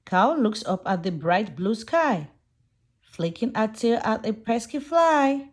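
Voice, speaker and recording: Slow, animated woman reading to kids. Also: fairly high pitch. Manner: expressive, puts on animal sounds. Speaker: children's picture book reader. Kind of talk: read-aloud storytelling for young children.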